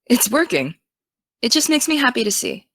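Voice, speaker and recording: A young American woman playing an excitable, teasing teenage aspiring journalist in naturalistic, casual audio drama dialogue. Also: a little high, normal speed, no room sound, studio quality, heavily acted. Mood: earnest, sincere.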